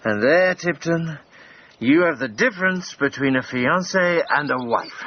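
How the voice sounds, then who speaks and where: A cocky, sociable Edwardian gentleman adventurer in a radio play. The voice is fairly high and very lively in pitch, chatty and teasing. It comes through slightly dull. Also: unhurried. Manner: chatty, hesitant starts, chuckling, teasing. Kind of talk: period radio drama dialogue. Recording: dull band-limited radio, faint noise.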